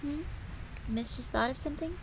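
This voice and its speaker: A high-pitched young fox maid, played by a woman, earnest and secretive. She confides like a servant sharing castle lore in an audio roleplay, with a crackling fire audible behind her. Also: normal speed. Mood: explaining, curious. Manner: speaks of herself in third person, confiding.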